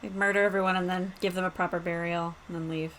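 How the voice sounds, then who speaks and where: A woman's voice: lowish voice, little pitch movement, fast talker, a bit bright, a little hiss or hum, low-quality recording. A woman chatting casually as a player in a tabletop role-playing game.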